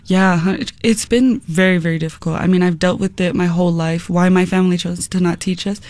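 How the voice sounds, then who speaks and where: Rich: A Mexican American singer from the United States chats as a guest in a relaxed, rambling way, full of soft fillers. The voice sits at a middle pitch. The sound is close and dry, with no room around it. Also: a little fast, no room sound. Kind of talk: casual interview chat.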